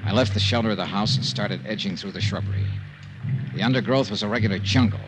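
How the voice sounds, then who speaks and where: Actor as low-voiced hard-boiled American private eye. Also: male voice, fast talker, loud music behind the voice, close to an everyday voice. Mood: tense, narrating. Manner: clipped, hard-boiled, matter-of-fact. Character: tough, weary male private investigator, narrating his case. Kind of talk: 1950s radio detective drama acting. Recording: noisy old radio serial recording.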